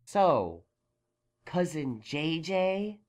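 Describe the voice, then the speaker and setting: A woman playing a brainy, exasperated young male unicorn magician in a fan audio drama, questioning in a measured way. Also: deep voice, big pitch swings, a little mellow, heavily acted. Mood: concerned, curious.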